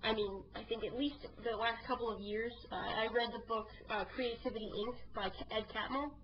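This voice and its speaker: Quick chatty woman leading the podcast talk, bit higher voice, muffled lo-fi audio. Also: fairly even intonation. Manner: quick, upbeat, steers the conversation. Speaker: podcast co-host. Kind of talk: casual movie chat.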